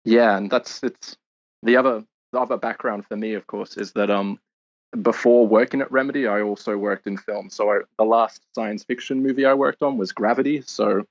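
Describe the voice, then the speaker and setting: An Australian man, a game lead designer, answering interview questions in a measured, explanatory way with filler pauses. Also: lowish voice, steady tone. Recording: dull lo-fi audio.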